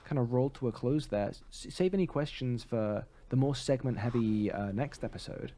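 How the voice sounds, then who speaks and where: Mellow man co-hosting a podcast. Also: mid-range voice, normal speed, a little hiss or hum. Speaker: podcast co-host. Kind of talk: casual podcast chat.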